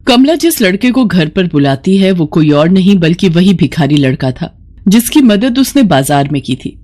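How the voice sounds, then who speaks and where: A woman's voice: lowish voice, very animated, moderate pace, mellow. Woman narrating a children's story. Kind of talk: scripted narration of a Hindi moral cartoon story.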